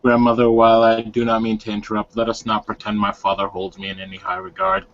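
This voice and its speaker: A man's voice: mid-range voice, quick pace, gravelly, a bit bright. A man playing a dry, respectful grandson in a roleplay game, making polite, wry objections. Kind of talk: tabletop roleplay in character. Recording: dull online call.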